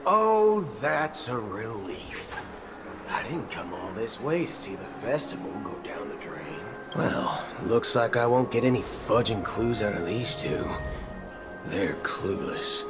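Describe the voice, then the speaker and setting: A man's voice: mid-range voice, animated, takes it slowly. A man playing a brash, impatient young traveler in a video game, informal and slangy, shifting between chatty and muttering. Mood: wry, uneasy. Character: brash young male traveler fishing for clues, casual and impatient. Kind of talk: animated video game voice acting. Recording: loud game music underneath.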